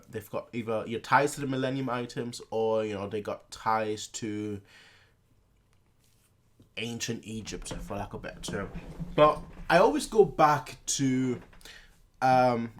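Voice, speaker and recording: Rambling young man chatting casually. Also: mid-range voice. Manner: rambling, heavy use of filler words and restarts. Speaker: reaction video host.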